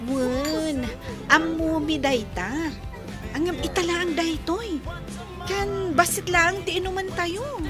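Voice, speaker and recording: A woman's voice: very high-pitched, takes it slowly, loud music behind the voice. An actress playing a playful young woman who teases her friend in a radio drama. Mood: teasing. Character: young woman Alexa, teasing upbeat friend.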